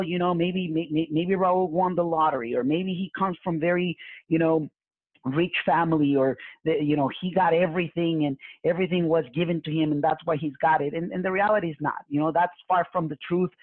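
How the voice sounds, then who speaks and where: A man's voice: on the high side, no echo. Male webinar host, Mexican Spanish accent, motivational, stumbles and restarts a lot, very dry tinny line. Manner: repetitive restarts and stumbling fillers. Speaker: real estate webinar host. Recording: tinny phone-like webinar audio.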